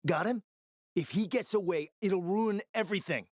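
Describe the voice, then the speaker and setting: A man's voice: sits high, normal speed, no room sound, studio quality. A man playing a cunning spymaster in a video game, speaking in a theatrical, urgent and sardonic way. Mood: alarmed, pressing. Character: cunning, theatrical male spymaster scheming over a radio. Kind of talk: dramatic video game voice acting.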